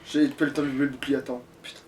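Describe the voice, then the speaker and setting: A man's voice: medium pitch, level tone, very fast, a little mellow. A man giving casual commentary as he plays a video game, grumbling and swearing freely. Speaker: let's play gamer. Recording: distant mic with faint noise.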